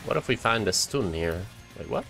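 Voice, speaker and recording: A young Norwegian streamer talks over his own gameplay, joking with chat and cursing at close fights. His voice is low but swings widely in pitch. Faint music plays under him. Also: expressive, normal speed, creaky. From Norway. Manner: joking, swears and shouts at game moments. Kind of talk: live gameplay commentary and chat banter.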